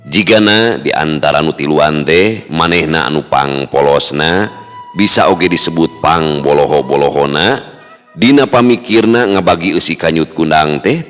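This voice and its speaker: A man with a West Javanese accent telling a dramatic folk tale in a measured, theatrical way. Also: rumbling low. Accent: Sundanese-speaking West Java. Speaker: storyteller. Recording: long hall reverb, music underneath.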